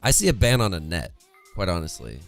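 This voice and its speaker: A young man with a worn-out, hoarse voice casting an esports match on a home stream, chatty and reading chat aloud, close to a studio mic. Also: low voice, big pitch swings, fairly quick, faint music behind. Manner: chatty, reads chat aloud, laughs often. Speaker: esports caster. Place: home streaming room, indoors. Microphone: studio condenser mic close in front, headphones.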